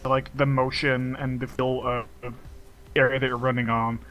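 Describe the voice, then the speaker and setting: A man co-commentating on a game stream, talking casually and analytically in measured, explanatory phrases. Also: medium pitch, flat delivery, fairly bright tone, soft background music.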